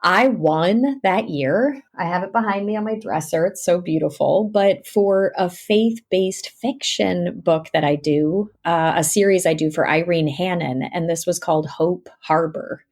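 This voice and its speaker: A middle-aged woman, a guest and audiobook narrator, chatting casually and animatedly in an interview, telling stories with lively swings and laughs. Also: fairly low pitch, measured pace, hardly any pauses.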